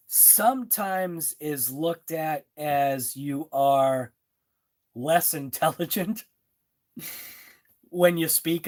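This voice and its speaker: A man's voice: a little high, a little mellow. A middle-aged man with a Boston accent, casually chatting on a live stream and answering viewers' comments in a rambling, laid-back way. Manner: slow, rambling, with fillers and laughs. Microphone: phone.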